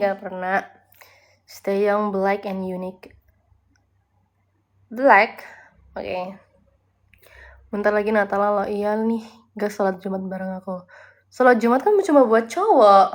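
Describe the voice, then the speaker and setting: Chatty young woman on a phone live stream. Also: medium pitch. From Bali, Indonesia. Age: young adult. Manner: chatty, reads viewer comments aloud and answers, mixes in English words. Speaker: livestreamer. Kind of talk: casual live-stream chat.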